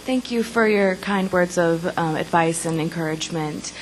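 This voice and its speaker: A woman's voice: lowish voice, slight background noise, low-quality recording. A woman in the audience who politely thanks the panel and asks a question. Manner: polite, measured. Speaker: audience member asking a question.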